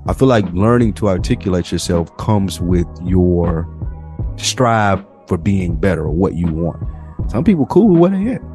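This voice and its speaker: Low-voiced man chatting over guitar music. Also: very animated, moderate pace, warm tone. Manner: informal, slangy, probing. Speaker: podcast co-host. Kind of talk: casual chat, questioning his co-host.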